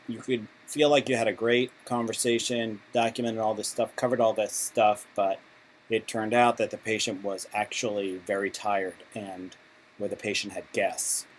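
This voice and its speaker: Low-voiced man explaining, noisy recording. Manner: halting, conversational phrasing with repeated restarts. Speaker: instructor for patient volunteers. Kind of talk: informal instructional explanation with role-played examples. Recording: clearly noisy amateur recording.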